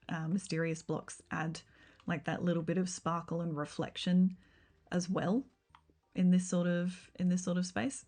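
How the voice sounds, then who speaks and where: A woman talks through her game build on a livestream, in a fairly low voice. It has a slight rough, croaky edge tonight. She chats in an easygoing, meandering way, full of ums and likes. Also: darkish tone. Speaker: game streamer. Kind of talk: casual livestream chat while building in a game.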